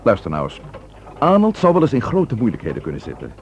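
An actor playing a dry, understated and persistent police inspector in a radio drama. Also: male voice, medium pitch, huge pitch swings, quick pace, a little mellow, dull audio. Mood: probing. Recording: noisy old radio play recording.

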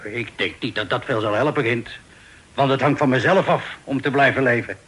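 A man's voice: medium pitch, a bit bright, husky. An actor in a Dutch radio drama playing a captive sea captain, a pious, wry and stubborn family man who talks in a folksy way. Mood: gentle, resigned. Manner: folksy, wry, unhurried storytelling.

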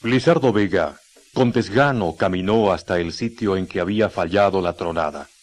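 A man's voice: sits low, normal speed. A man with a Chilean accent narrating a scripted radio drama in a solemn, literary storytelling manner. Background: music.

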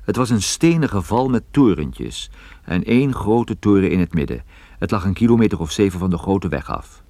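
An actor playing a private detective who recounts his case in a measured, observant way, as first-person radio play narration. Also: fairly low pitch, creaky, darkish tone, no echo, heavily acted. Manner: measured, descriptive storytelling.

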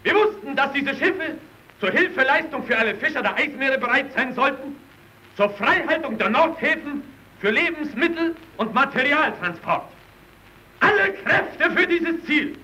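A man's voice: extremely high pitch, animated, moderate pace, sharp tone, heavily acted, rough recording. A male actor playing a fiery Soviet worker arguing at a party meeting in a vintage radio play, declaiming insistently. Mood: earnest.

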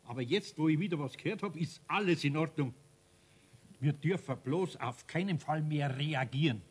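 A man's voice: a little high, normal speed, husky. A man playing a kindly, flustered older master craftsman with a Bavarian accent in a 1970s radio play, speaking in a folksy, hesitant way. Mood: relieved, apologetic. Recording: noisy old 1970s radio recording.

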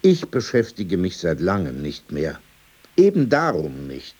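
Husky, deep old man, indignant storyteller. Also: huge pitch swings, a little slow, a little mellow, full character voice. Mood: dismissive, chatty. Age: older. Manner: digressive, indignant, emphatic, rhetorical questions. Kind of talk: radio play, conversational monologue.

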